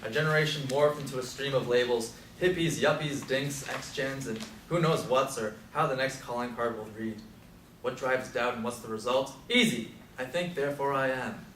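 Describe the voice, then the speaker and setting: A man's voice: mid-range voice, normal speed, fairly bright tone, close to an everyday voice. Roomy, distant actor as analytical aging Beat man. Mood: musing. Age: older. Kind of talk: stage play dialogue. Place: live stage performance recorded from a distance. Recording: echoey, distant mic, faint noise.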